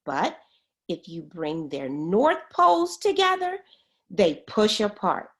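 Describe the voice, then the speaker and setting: Slow, animated woman reading to children. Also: mid-range voice. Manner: expressive, explains words as she goes. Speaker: teacher reading a book aloud. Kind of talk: read-aloud of a children's science book.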